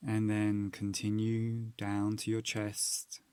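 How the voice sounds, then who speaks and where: A man narrating a guided meditation, giving soothing, measured instructions with long pauses. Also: lowish voice, monotone, darkish tone, smooth, dry recording.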